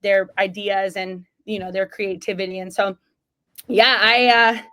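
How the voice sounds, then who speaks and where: A woman's voice: mid-range voice, level tone, unhurried, fairly bright tone, low-quality recording. A woman from Mexico speaks as a podcast guest, earnest and emphatic. She talks in a mid-range voice, with a loose conversational flow full of "like" and "right?". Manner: single mom. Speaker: associate athletic director, guest. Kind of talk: casual interview talk.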